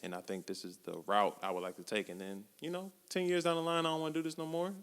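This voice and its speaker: A man in his twenties co-hosting a podcast, talking casually and reflectively in relaxed slang. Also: fairly high pitch, fast, clean tone. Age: 20s. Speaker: podcast co-host. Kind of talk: casual chat. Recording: long hall reverb.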